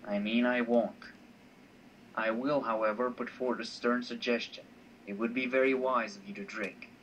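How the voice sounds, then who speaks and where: A man voices a self-assured mentor in a visual novel, insistent yet wry. His mid-range voice is measured and a touch patronising. It sounds off the mic, with faint noise behind it. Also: mid-range voice, fairly even intonation, a bit bright, far from the mic, muffled recording, close to an everyday voice. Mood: calm, persuasive. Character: teasing, self-assured male mentor, calm and insistent, Cyrus. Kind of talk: amateur voice acting of a visual novel.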